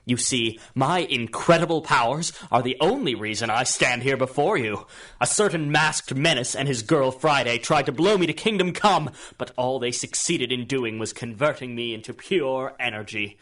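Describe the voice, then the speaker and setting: A man's voice: mid-range voice, moderate pace, a bit bright, natural voice. Man playing a theatrical, scheming radio supervillain. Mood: grandiose, scheming. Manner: theatrical, ingratiating, florid. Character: grandiose, scheming male supervillain with electrical powers. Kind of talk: radio drama acting in 1930s pulp serial manner.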